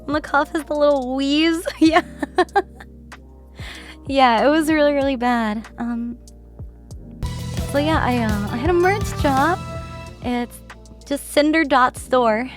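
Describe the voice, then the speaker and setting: A woman streamer chatting casually with her viewers, her voice worn from a bad cough, keeping it lowered and laughing often. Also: takes it slowly, pauses often, faint music behind. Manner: chatty, rambling with fillers. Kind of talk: casual livestream chat.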